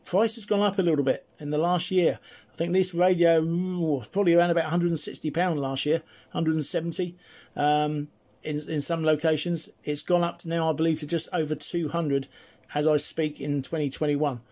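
A man chatting casually and at some length as he demonstrates a CB radio, in a friendly, slightly husky voice. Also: on the high side, fairly even intonation, normal speed, a little mellow. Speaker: CB radio reviewer and operator. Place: indoors at a home radio desk.

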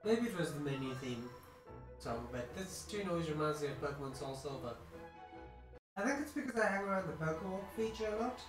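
A streamer rambles through a gaming session, reading out viewer messages between thoughts. The voice sits in a roomy, echoing space. Game music plays clearly underneath. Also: male voice, on the high side, animated, fairly quick. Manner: rambling, reads chat messages aloud. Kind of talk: casual gaming chat.

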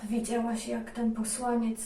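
A woman co-telling a children's story in a calm, explanatory, conversational way. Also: a little high, a little slow, roomy sound, far from the mic, background noise. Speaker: co-storyteller in a children's tale series.

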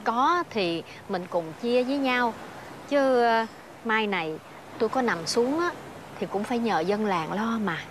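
An actress playing a gentle, charitable old village wife in a fairy-tale drama, homely and modest, with a Southern Vietnamese accent. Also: female voice, a little high, lively intonation, a little slow, slight background noise.

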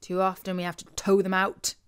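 A wary, suspicious farmer in a storybook voiced by a woman. He is gruffly matter-of-fact. The pitch is medium for a woman's voice. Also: completely flat delivery, a little fast, lightly acted. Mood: wry, unimpressed.

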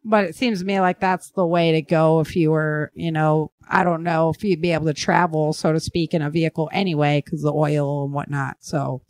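A woman chatting casually as a podcast host, animated and punctuating her points with punchy sound-effect words. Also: low voice, brisk, hardly any pauses, no room sound. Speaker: podcast host and fiction writer. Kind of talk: casual survivalist chat.

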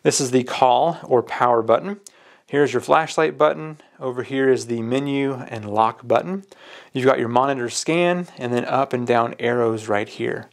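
A man with a mid-pitched voice talks through a product demonstration. He explains each step plainly in a casual, practical way, as a reviewer showing how something works. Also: normal speed, creaky. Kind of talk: casual hands-on product walkthrough. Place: indoors at a work table.

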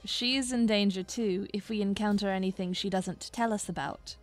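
A woman's voice: mid-range voice, fairly even intonation, moderate pace, soft background music, lightly acted. A woman playing a shrewd, level-headed young seafarer in a fantasy audio drama, matter-of-fact in delivery. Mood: calm, shrewd.

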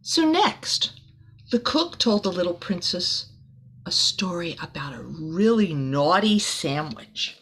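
A woman's voice: lowish voice, big pitch swings, slow, a bit bright, husky. A middle-aged woman reads a children's picture book aloud expressively, with casual asides to young listeners, in a small room.

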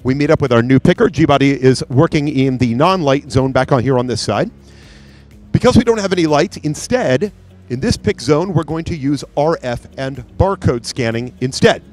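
Trade-show presenter, man, 40s, salesy and upbeat, handheld mic, faint music under. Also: on the high side. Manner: upbeat, salesy, pointing things out. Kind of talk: live product demo narration. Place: large convention hall trade booth.

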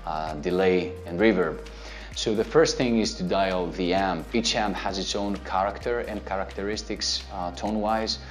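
Deep-voiced Greek man teaching guitar. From Greece. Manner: relaxed, explains step by step with many fillers; gestures with his hands while talking. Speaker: guitar teacher answering viewer questions. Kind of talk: casual instructional talk to camera. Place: indoor studio with a plain grey backdrop. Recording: soft background music under the voice.

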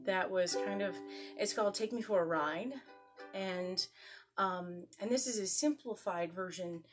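A woman in her thirties hosting an interactive children's storytime, encouraging listeners and prompting them with questions. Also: medium pitch. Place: carpeted home living room, indoors. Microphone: camera a couple of metres away.

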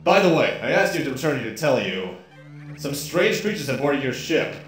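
A man giving voice to a calm male ship computer that briefs its agent in a measured, formal tone. The pitch moves widely. It sits in a roomy echo with game music behind it, and a slightly stuffy nose comes through. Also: close to an everyday voice. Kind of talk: reading game dialogue aloud.

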